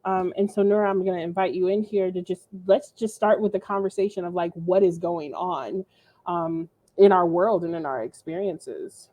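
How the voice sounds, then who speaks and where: A woman's voice: fairly low pitch, normal speed, warm tone. A woman professor and guest presenter giving an earnest, explanatory talk, correcting herself now and then. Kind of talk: conversational lecture.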